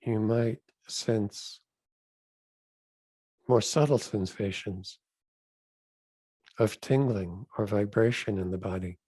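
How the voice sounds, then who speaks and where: Slow, mellow man guiding meditation. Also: lowish voice, breathy edge, no echo. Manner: gentle, deliberate phrasing with pauses. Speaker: rabbi leading a guided meditation. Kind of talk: guided meditation instruction.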